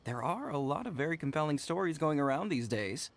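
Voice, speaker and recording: Animated, persuasive gossiping promoter. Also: male voice, fairly high pitch, a little fast, slight background noise. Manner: salesman-like, storytelling. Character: persuasive, gossipy ritual promoter, smooth-talking and enthusiastic. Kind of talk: animated game dialogue.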